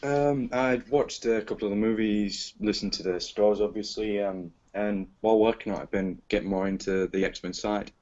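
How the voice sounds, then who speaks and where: A man from an audio drama cast, answering in a casual panel chat in a relaxed, hesitant way. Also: fairly low pitch, normal speed, hardly any pauses, a little hiss or hum. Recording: muffled lo-fi recording.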